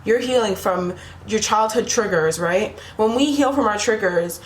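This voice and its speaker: A woman's voice: medium pitch, a little slow. Tarot reader, woman, losing her voice; chatty, rambling delivery to camera; echoey room, faint background hum. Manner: rambling, repeats "right?" and "you know?" to the viewer. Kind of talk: casual spiritual tarot reading to camera.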